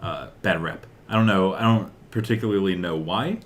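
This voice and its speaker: A man's voice: deep voice, normal speed. A man co-hosting a podcast, chatting casually and enthusiastically about games and film news, with strong opinions. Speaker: podcast co-host.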